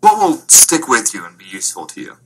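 A man conducting a course interview, asking polite, measured, scripted questions. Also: lowish voice, wildly swooping pitch, gravelly, a little hiss or hum, rough recording. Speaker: interviewer, communication course instructor.

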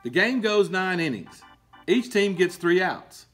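A middle-aged man reads to children like a coach giving a lesson. His voice is somewhat rough and swings expressively in pitch as he stresses and explains. Faint music sits behind him. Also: sing-song, a little slow, a bit bright. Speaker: baseball coach and PE teacher. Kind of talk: children's picture-book read-aloud with explanations. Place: indoor school room with book shelves.

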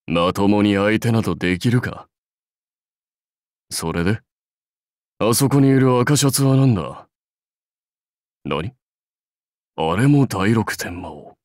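Samurai warlord, game role: commanding, dignified, archaic diction, unhurried delivery, slightly low register. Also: male voice, dry recording, studio quality. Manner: stately, archaic lordly speech. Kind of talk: Japanese mobile game character voice lines.

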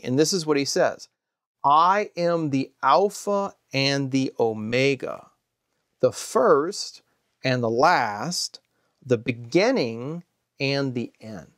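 A man's voice: mid-range voice, gravelly, dry recording. Earnest man teaching scripture, dry sound. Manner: earnest, emphatic, slows down to read scripture aloud. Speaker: Bible teacher. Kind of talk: explanatory Bible lesson to camera. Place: study with bookshelves, indoors.

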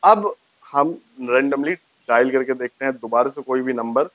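A man's voice: mid-range voice, unhurried, a bit bright, no room sound, muffled recording. A man gives a casual step-by-step tutorial to camera, explaining and repeating each step. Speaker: tech tutorial host. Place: small furnished room indoors, on a sofa. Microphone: lapel mic.

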